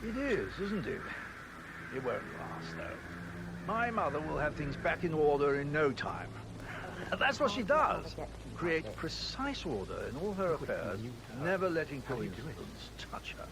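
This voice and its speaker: An actor playing a sarcastic, amused heir of a wealthy family in a video game. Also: male voice, fairly high pitch, big pitch swings, unhurried, creaky, crowd noise, full character voice, low-quality recording. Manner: mocking, amused, drawling. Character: smug, sarcastic rich heir, middle-aged.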